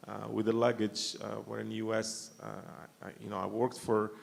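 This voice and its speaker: A man's voice: lowish voice, monotone, moderate pace, vocal fry, big-room sound. A man from Morocco speaking on a conference panel, thoughtful and full of restarts and fillers. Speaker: panelist, company founder. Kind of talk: panel discussion. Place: university conference hall.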